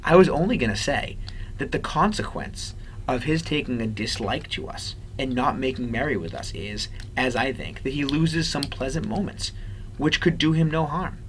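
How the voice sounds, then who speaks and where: A man voicing a cheerful, good-hearted young gentleman from a storybook, in a hearty, emphatic way. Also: mid-range voice, slight background noise, natural voice, low-quality recording.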